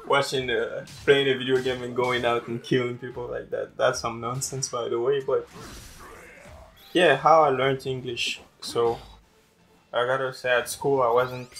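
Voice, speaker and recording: A man's voice: mid-range voice, a bit bright. A young man whose first language is French, chatting casually and rambling over gameplay as host of a gaming channel. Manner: chatty, rambling, repeats words.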